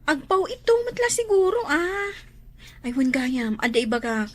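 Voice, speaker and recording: A woman's voice: sits high, sing-song, normal speed. A woman with an Ilocano accent playing a practical, chatty neighbour in a radio drama, talking matter-of-factly.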